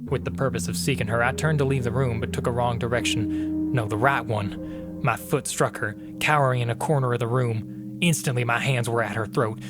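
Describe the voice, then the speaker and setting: An actor playing a tormented husband confessing to murder, reading a gothic tale in a somber, formal, literary manner. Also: male voice, mid-range voice, natural voice. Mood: dark, tense. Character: tormented, guilt-ridden husband confessing to murdering his wife. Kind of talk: dramatic first-person reading of a gothic tale.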